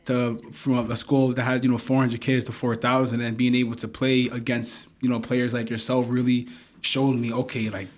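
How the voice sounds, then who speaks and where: A man in his early thirties from New York City chats as a podcast co-host. His delivery is slangy and conversational, at a middle pitch with little melodic movement. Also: little pitch movement, moderate pace. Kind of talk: casual chat.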